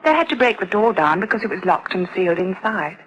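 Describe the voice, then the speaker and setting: An actress playing a proper, confiding adoption official in a radio drama, formal, deliberate and well-spoken. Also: female voice, mid-range voice, sing-song, breathy edge, dull audio. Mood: grim.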